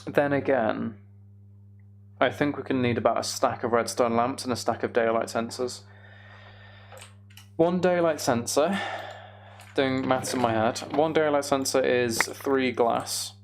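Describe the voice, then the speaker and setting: Casual gravelly male game streamer. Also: mid-range voice, a little slow, a little hiss or hum. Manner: muttering to himself while working things out. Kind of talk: casual live gameplay commentary, thinking aloud.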